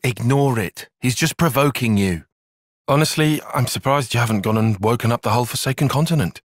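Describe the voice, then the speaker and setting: An actor playing a young soldier strategist in a video game, formal, bookish and wry, easily flustered. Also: male voice, mid-range voice, no room sound, clean studio sound, natural voice. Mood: dry, sarcastic. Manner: formal, measured, precise, dryly sardonic. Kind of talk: video game cutscene voice acting.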